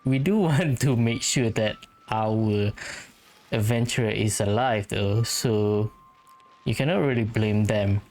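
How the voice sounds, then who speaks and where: A man giving casual let's-play commentary, musing aloud in a halting way. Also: fairly low pitch, a little mellow. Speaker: gaming streamer.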